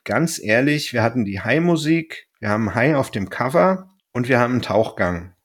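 A man hosts a casual podcast. His voice sits at a medium pitch. He rambles a little, thinking aloud and reworking his sentences as he goes. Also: expressive, normal speed. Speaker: podcast host.

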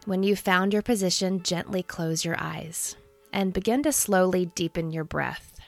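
A woman in her thirties leading a guided meditation in a soothing, gentle, unhurried manner. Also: lowish voice, vocal fry, faint music behind. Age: 30s.

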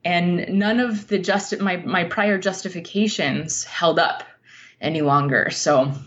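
A woman giving a reflective, conversational interview as a guest writer, speaking candidly and informally in a halting way over muffled audio. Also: lowish voice.

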